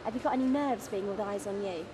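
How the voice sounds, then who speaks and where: A woman's voice: mid-range voice, fast talker, background noise. A woman presenter asking questions in a light entertainment interview.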